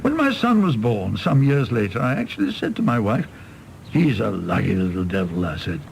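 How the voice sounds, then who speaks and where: A man acting a tipsy, bitter, apologetic middle-aged British doctor in a radio drama, rambling and halting in a gravelly voice. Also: on the low side, very animated, slight background noise. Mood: wistful. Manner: rambling, halting, swinging from ranting to meek.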